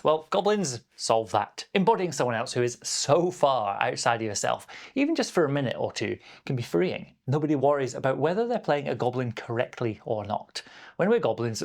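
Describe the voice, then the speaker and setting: A man's voice: medium pitch, creaky. A man presenting a scripted explainer as a game master, with a playful, emphatic and encouraging delivery. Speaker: game master and video host.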